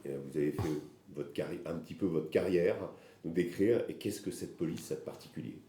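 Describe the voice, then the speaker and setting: A man's voice: deep voice, brisk, mellow. A man interviewing a police director, asking questions hesitantly and searching for words. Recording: echoey room with faint background noise.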